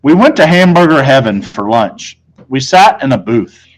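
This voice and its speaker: A man's voice: mid-range voice, sing-song, normal speed, low-quality recording. A man reading a children's novel aloud as a teacher would, narrating in the first person.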